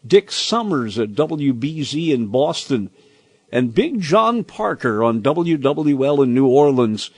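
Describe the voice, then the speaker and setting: An older American man reading a scripted, nostalgic personal commentary in a deliberate, expressive storytelling manner. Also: medium pitch, slightly rough, no echo. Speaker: radio commentator.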